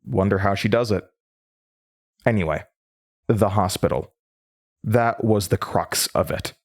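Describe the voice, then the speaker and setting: A man's voice: lowish voice, a little slow. An actor playing a nervous, earnest male researcher, narrating horror audio drama in a hesitant, stammering, confiding way. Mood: uneasy, reflective. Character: nervous, earnest male horror-radio researcher recounting his case notes.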